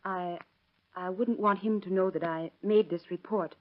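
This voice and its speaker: A woman's voice: on the low side, fairly even intonation, natural voice. Actress as an anxious hesitant woman, muffled old radio. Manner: halting, apologetic, polite. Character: anxious, hesitant woman seeking her missing sister. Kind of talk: 1930s radio acting. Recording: dull vintage radio drama recording.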